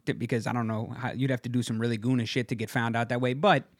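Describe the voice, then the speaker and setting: A man's voice: medium pitch, flat delivery, quick pace, no echo, studio quality. A man co-hosting a podcast, talking in loose, crude, laughing banter full of restarts. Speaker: podcast co-host. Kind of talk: casual comedic chat.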